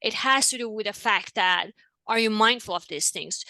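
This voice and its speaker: A woman's voice: mid-range voice, moderate pace, bright, forceful tone, dry recording. A woman whose first language is Farsi, speaking conversationally as a professor hosting an interview, emphatic and often checking for agreement.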